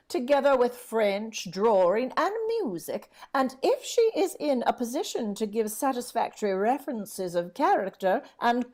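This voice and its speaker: Slow, swooping, high-voiced elderly housekeeper. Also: female voice, a little mellow. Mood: reading formally. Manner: genteel, fussing, exclamatory. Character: kindly, chatty elderly housekeeper, slightly deaf. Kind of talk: vintage radio drama acting.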